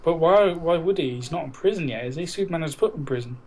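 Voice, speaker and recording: Higher-voiced sweary man podcasting over background noise. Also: expressive, fast talker, a little mellow, poor audio. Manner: sweary, blunt, teasing. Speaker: podcast co-host. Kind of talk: casual banter about films.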